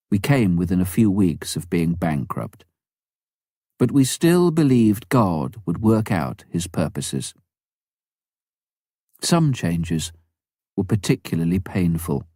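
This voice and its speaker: A man reading a memoir aloud as scripted narration, in a measured, clearly phrased way. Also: sits low, dark tone, no echo, clean studio sound. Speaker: audiobook narrator.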